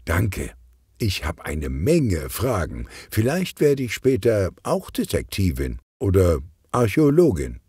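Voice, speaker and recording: A man voicing an excitable teenage girl who confides eagerly in a children's audio story. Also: deep voice, slow, slightly rough, dry recording, clean studio sound, pitched above the performer's own voice, heavily acted. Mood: excited, confiding.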